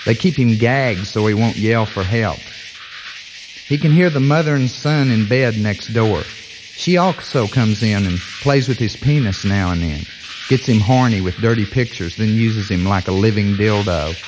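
A man narrating an audio story like a film pitch, in offhand, clipped fragments. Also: normal speed, over music.

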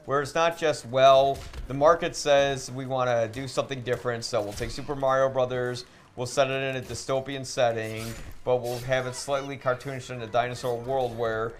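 Male podcast co-host, mid-pitched, earnest and argumentative in casual discussion, light room noise behind. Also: steady tone, moderate pace, hardly any pauses. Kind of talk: casual debate.

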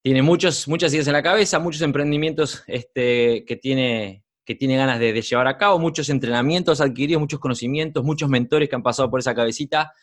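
A man's voice: medium pitch, brisk, hardly any pauses, no room sound, studio quality. A man hosting an interview, introducing his guest with hesitant repeats. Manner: hesitant, repeats and restarts words. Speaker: interviewer host.

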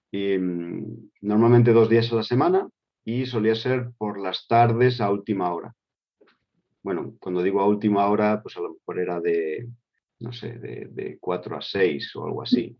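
A middle-aged man who grew up in Switzerland answers interview questions casually, hesitating with many fillers and restarts. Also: fairly low pitch. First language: Spanish with German. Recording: dull lo-fi recording.

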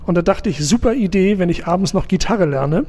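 Wry, higher-voiced man from Hamburg. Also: lively intonation, brisk, warm tone, in a large hall. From Hamburg, Germany. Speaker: stand-up comedian guest. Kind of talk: interview answers.

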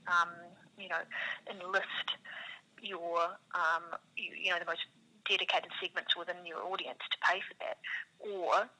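A woman's voice: on the low side, flat delivery, measured pace, sharp tone, rough recording. A woman with a New Zealand accent, answering interview questions as a business co-founder in a thoughtful, circling way full of "you know".

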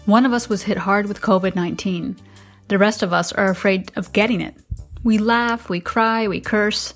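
A middle-aged American woman hosts a podcast, reading personal narration. Her voice sits at an average female pitch, with music playing underneath. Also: normal speed. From United States. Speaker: podcast host.

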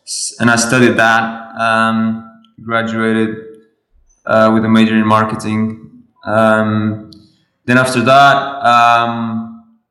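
A man answers interview questions, stopping and restarting his sentences often. His voice sits on the lower side. The room around him is noticeably echoey. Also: completely flat delivery, bright, forceful tone. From Portugal. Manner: halting, with many restarts. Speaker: podcast guest. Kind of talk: interview conversation.

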